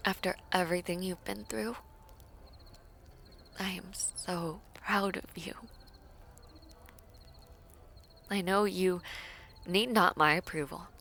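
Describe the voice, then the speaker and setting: A woman playing a devoted, teasing princess in a romantic audio roleplay, speaking formally and coyly with breathy, whispery softness. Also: lowish voice, a little hiss or hum. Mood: soft, proud. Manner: slow, formal, coy, often dropping to breathy whispers.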